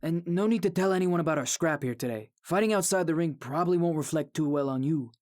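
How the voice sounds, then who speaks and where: An actor playing a brash, prickly young rookie wrestler in an audio drama, cocky and sneering. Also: male voice, fairly high pitch, fast talker, a little mellow, close to an everyday voice. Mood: sullen, sly.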